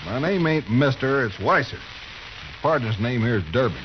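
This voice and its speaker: An actor playing a brusque, complaining frontier troublemaker in a 1950s radio western. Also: male voice, mid-range voice, lively intonation, moderate pace, close to an everyday voice. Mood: agitated, brusque. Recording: dull, hissy 1950s radio recording.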